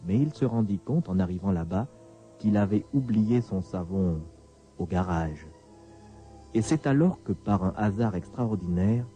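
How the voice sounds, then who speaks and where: A man narrating a radio drama as a scripted story, in deliberate short phrases with suspenseful pauses. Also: low voice, dark tone, dull audio.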